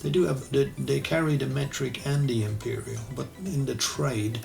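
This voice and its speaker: A man with an Irish accent teaching architectural CAD over a screen recording, relaxed and thinking aloud as he works, often correcting himself. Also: mid-range voice, moderate pace, vocal fry, soft background music. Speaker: architectural designer and CAD tutor. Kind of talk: screen-recorded software tutorial.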